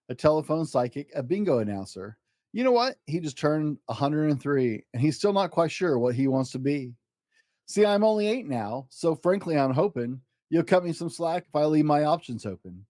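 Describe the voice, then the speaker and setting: A man's voice: on the high side, moderate pace, no room sound, clean studio sound, lightly acted. A man voicing an exuberant, boastful eight-year-old schoolboy in a theatrical, rhyming picture-book story. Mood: earnest. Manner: excitable, grandiose, theatrical.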